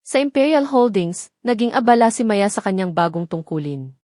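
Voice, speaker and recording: A woman's voice: medium pitch, lively intonation, moderate pace, warm tone, no room sound, studio quality. A woman with a Filipino accent reads a scripted dramatic story as narrator. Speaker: narrator of audio drama stories.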